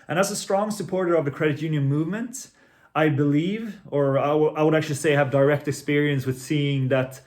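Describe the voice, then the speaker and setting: A man in his twenties, originally from Finland, giving a prepared self-introduction in an earnest, measured way, with a few small restarts. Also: medium pitch, darkish tone. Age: 20s. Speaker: credit union marketing executive.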